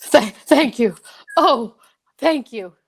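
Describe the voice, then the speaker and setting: A dying man voiced by a woman in an old-time radio play, slow and halting. He stammers and gasps as he forces out an urgent last plea, in a raised pitch. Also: animated, creaky, husky, heavily acted. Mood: weak, urgent. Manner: halting, stammering, gasping broken phrases.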